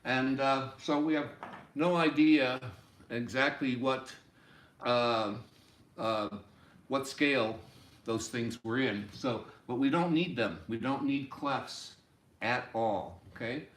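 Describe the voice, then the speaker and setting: A man in his sixties giving an informal music lesson, explaining deliberately and step by step in a small room. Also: medium pitch, fairly bright tone. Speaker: music teacher. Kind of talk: informal instructional explanation at a whiteboard. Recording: roomy, echoey home room sound.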